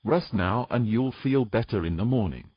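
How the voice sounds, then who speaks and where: A man playing a weary, gruff knight with dry, teasing humour in a romantic fantasy audio drama. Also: on the low side, animated, moderate pace, no room sound, lo-fi sound. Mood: coaxing, teasing.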